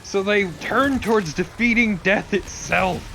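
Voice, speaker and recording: A man with a slightly high voice talks through game lore in a casual, dry way. Game ambience runs faintly behind his wry commentary. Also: unhurried, vocal fry, pushed and bright, slight background noise. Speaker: let's play co-commentator.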